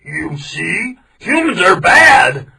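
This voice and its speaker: A man reading a storybook aloud, voicing an ungrateful hungry tiger that pleads and shouts. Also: high voice, big pitch swings, extremely slow, creaky, far from the mic, pitched above the performer's own voice, full character voice, poor audio.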